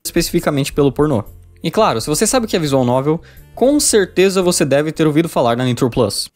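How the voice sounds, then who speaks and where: A man's voice: a little high, big pitch swings, quick pace, darkish tone. A Brazilian man delivering scripted narration for a video essay. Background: music.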